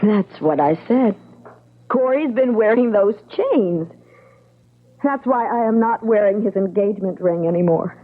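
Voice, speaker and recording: A woman's voice: mid-range voice, expressive, unhurried. An actress playing a bitter, wounded young woman in an old-time radio drama, speaking with earnest, resigned drama. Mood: bitter, hurt. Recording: thin phone-like old radio recording.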